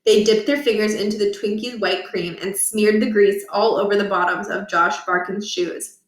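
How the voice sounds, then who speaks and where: A woman narrating a children's book aloud, with expressive storytelling and deliberate emphasis. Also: medium pitch. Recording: roomy echo, distant from the mic.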